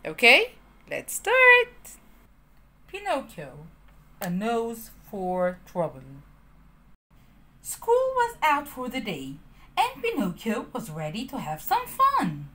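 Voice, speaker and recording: Storyteller woman, slow and very expressive, a little high, children's read-aloud. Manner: animated, addressing children.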